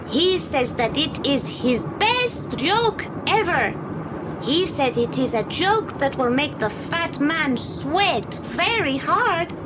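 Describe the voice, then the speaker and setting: A woman playing an earnest young immigrant boy with a Spanish accent, telling a story in simple, halting, broken English for an audio drama. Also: high-pitched. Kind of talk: British audio drama acting. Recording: noisy old audio play recording.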